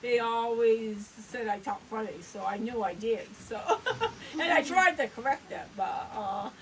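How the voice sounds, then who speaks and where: An older woman from Maine with a Maine accent, telling rambling stories with many pauses and laughs in a casual family interview on a porch. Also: a little high, far from the mic, slight background noise, low-quality recording. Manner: rambling, halting storyteller who laughs often. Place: front porch outdoors.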